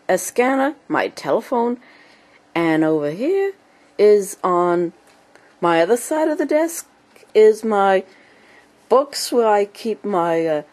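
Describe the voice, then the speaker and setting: Slow, halting woman with a cold. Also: mid-range voice, a little mellow. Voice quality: speech impediment. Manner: halting, choppy phrases. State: has a cold, clearing throat. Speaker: amateur video blogger and hobby writer. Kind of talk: casual narrated home room tour. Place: small home computer room. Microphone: handheld camera held by the speaker.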